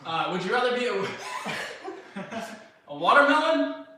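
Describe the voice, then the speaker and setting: A man with a high, animated voice who jokes easily and greets viewers by name. He sounds far from the mic in a roomy, echoing space with clear noise behind him. It is a casual live stream chat. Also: slow. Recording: echoey room, far from mic, noisy.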